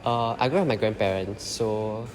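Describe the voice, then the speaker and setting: A man's voice: on the low side, normal speed. A young Singaporean man, a design student, answering interview questions casually with lots of fillers. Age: young adult. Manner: rambling, many fillers. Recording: echoey hall with crowd behind.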